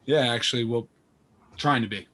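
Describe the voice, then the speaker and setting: Self-deprecating would-be novelist played by a man for a stage play: apologetic, earnest, forward bright tone, medium pitch. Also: moderate pace, a little hiss or hum, lightly acted. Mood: polite, awkward. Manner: hesitant, apologetic, polite. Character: earnest, self-deprecating male aspiring novelist, privileged and guilty. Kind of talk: naturalistic stage play dialogue.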